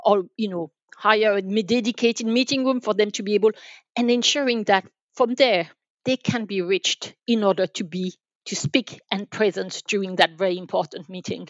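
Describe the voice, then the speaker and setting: A woman with a mid-pitched voice answers as a podcast guest, explaining things step by step. She restarts and repeats words as she works through her answers. The sound is dry and somewhat dull. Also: fairly even intonation, moderate pace, dry recording. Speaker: podcast guest, enterprise sales manager. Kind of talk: interview answers, explanatory talk.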